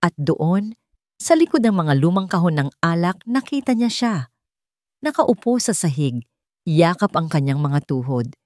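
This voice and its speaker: A woman's voice: fairly low pitch, big pitch swings, measured pace, a little mellow, no echo, clean studio sound. A woman narrator reading a scripted, dramatic love story.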